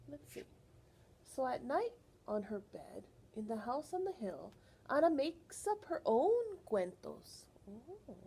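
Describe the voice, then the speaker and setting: A woman reading a picture book aloud to young children as a librarian, animated and teacherly, often pausing to put questions to her listeners. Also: on the high side, slight background noise.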